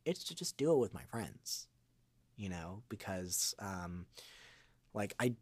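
A man in his twenties chatting reflectively as an interview guest, earnest and halting as he gathers his thoughts. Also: deep voice, sing-song, a little mellow, breathy edge, no room sound. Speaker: voice actor, podcast guest. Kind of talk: casual reflective interview chat.

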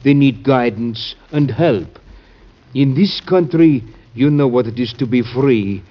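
A man's voice: mid-range voice, animated, takes it slowly. An actor playing a humble, fervent islander who pleads for his people, with solemn, careful, formal diction in classic radio drama style. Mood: earnest pleading. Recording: dull band-limited old radio recording.

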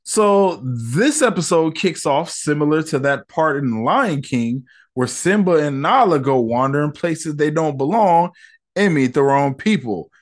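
A man hosting a solo podcast, reviewing an episode in a relaxed, chatty way with slang and lots of fillers. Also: on the high side, a little slow.

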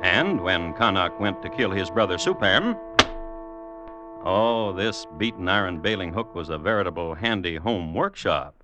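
A man hosting and narrating in 1950s radio style, with a dry, droll, deliberate, mock-formal delivery. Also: deep voice. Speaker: host and narrator. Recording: old radio recording with music behind. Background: music.

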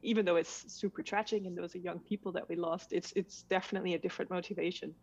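A woman's voice: on the low side, fairly even intonation, fast, a little mellow. A woman from Denmark, a documentary filmmaker, chatting casually as a guest and laughing often. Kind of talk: casual conversation. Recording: dull lo-fi sound.

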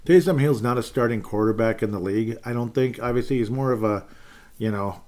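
A man's voice: mid-range voice, fairly quick, darkish tone. A man hosting a casual sports podcast, rambling and correcting himself with wry asides. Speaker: podcast host.

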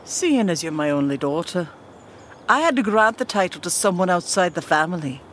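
A woman's voice: lowish voice, animated, moderate pace, a little hiss or hum, lightly acted. A woman playing an imperious monarch and parent in a comedic fantasy audio drama, formal and stern. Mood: stern, dismissive.

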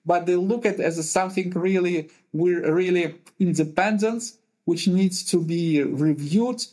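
A man from Uzbekistan, a compliance lawyer and podcast guest, answering questions in a measured way, pausing to find his words in non-native phrasing. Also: high voice, darkish tone. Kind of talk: thoughtful conversational answers. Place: remote call, based in Europe.

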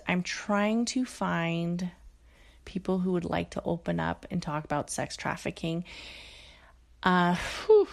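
A woman's voice: on the low side, creaky. A woman hosting her own podcast alone, chatting casually and swearing freely, with lots of fillers and restarts. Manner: profane, frequent fillers and restarts. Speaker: podcast host. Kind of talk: casual solo podcast monologue.

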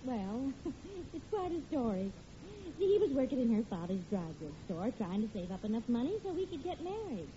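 An actress playing a patient but exasperated housewife in an old radio sitcom. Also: female voice, fairly high pitch, very animated, moderate pace, dark tone. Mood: reminiscing. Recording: muffled, hissy old radio recording.

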